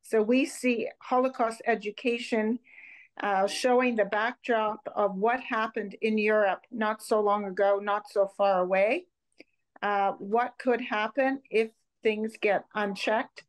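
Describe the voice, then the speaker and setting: A woman's voice: medium pitch, fairly even intonation, unhurried, poor audio. An older woman from Montreal speaking as a guest presenter and organization co-founder over a video call. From Montreal, Canada. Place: home room indoors.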